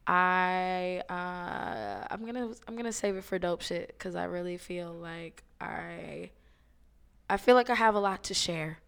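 A woman of thirty co-hosts a laid-back podcast, her voice hoarse yet smooth and resonant. She sits a little low in pitch and talks in easy, slangy chat, filled with ums. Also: measured pace. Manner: relaxed, slangy, with many ums. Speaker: podcast co-host. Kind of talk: casual chat.

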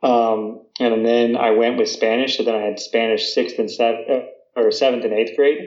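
A man's voice: mid-range voice, flat delivery, moderate pace, darkish tone, reverberant, lo-fi sound. An American man in his thirties talking casually, with lots of fillers, restarts and "you know". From United States. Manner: chatty, many fillers and restarts. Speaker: guest. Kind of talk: casual conversation.

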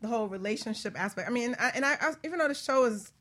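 A woman's voice: a little high, lively intonation, very fast, a bit bright. A woman hosting a talk show in a casual, informal way, often chiming in with short reactions. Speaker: radio show host.

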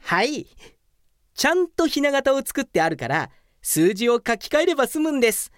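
Woman playing a self-satisfied young male office worker, lively delivery. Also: sits high, moderate pace, no echo, studio quality. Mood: smug. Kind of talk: anime-like comedic radio drama.

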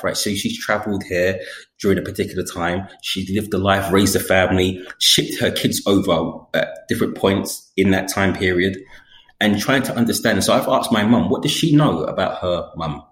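A man's voice: deep voice, moderate pace, a bit bright. A man in his forties co-hosting a podcast, speaking in a reflective, casual way and laughing often. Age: 40s. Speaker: podcast co-host. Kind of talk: casual conversation. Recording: roomy echo.

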